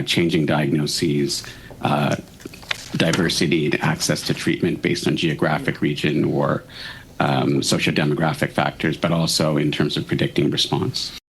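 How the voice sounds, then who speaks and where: A man giving formal expert testimony, measured and hesitant, with technical wording. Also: extremely low pitch, slight background noise, rough recording. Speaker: expert witness at a committee hearing.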